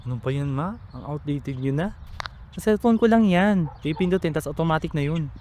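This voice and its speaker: A man's voice: a little high, animated, a little fast, a little mellow, lightly acted. A man with a Filipino accent playing a hardworking, impatient eldest brother in a radio drama. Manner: brusque, exasperated. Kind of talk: radio drama acting, casual family talk. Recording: faint background noise.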